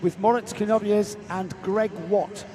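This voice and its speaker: A man's voice: sits high, fairly even intonation, measured pace, crowd noise. A man hosting a live karting stream, chatting with co-commentators and reading out viewers' names. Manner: chatty, jokey, reads out chat names. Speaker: race commentator and stream host. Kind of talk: live motorsport commentary and chat.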